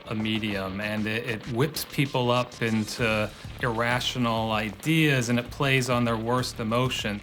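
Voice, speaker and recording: A man giving analytical commentary in a documentary interview. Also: medium pitch.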